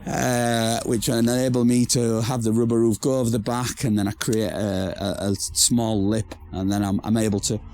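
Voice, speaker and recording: A hoarse man who has nearly lost his voice, chatting casually to camera about his outdoor building project with rambling restarts. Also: on the low side, little pitch movement, normal speed, few pauses, darkish tone, slight background noise. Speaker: vlogger building a pizza oven shelter. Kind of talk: casual to-camera DIY chat. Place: outdoor building site in a garden.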